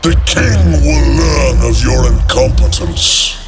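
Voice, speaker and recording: An actor playing a haughty, harsh army commander in a video game, contemptuous and commanding. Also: male voice, mid-range voice, animated, takes it slowly. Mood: cold anger. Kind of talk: dramatic video game acting. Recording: loud music under the voice.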